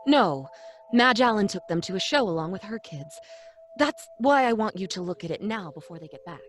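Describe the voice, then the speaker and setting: A woman's voice: mid-range voice, lively intonation, normal speed, lightly acted. An actress playing an anxious wife and mother in a live audio drama, uneasy and pleading, rising to panicked cries. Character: anxious adult wife Lydia, frightened mother. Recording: live stage recording with faint music.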